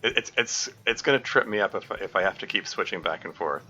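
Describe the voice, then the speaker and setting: Give me a low-voiced man reading a game aloud on stream, halting and laughing, on dull audio. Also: expressive, sharp tone. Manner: halting, self-correcting, laughs often. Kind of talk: live stream playthrough, reading and commenting.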